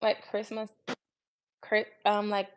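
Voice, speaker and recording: A young woman in casual conversation as a podcast co-host, musing in a low-key way. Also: monotone, slow, smooth, low-quality recording. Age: young adult. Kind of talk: casual chat.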